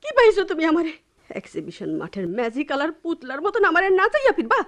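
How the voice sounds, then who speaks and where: An actress playing a hurt but defiant young village wife in a radio drama, emotional and protesting, in rural Bangladeshi dialect. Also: female voice, extremely high pitch, huge pitch swings, fast, heavily acted. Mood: tearful defiance.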